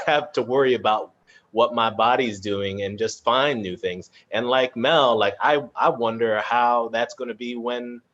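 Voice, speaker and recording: A man's voice: lowish voice, a bit bright, highs cut off. A man moderating a casual panel and sharing his own thoughts, hesitant and thinking aloud. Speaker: moderator and cast member.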